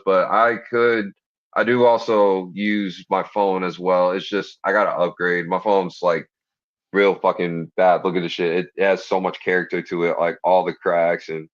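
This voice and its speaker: A man with a deep voice, talking as a guest in a casual interview, enthusiastic, slangy and profane. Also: a bit bright. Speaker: guest, body piercer. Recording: dull lo-fi stream audio.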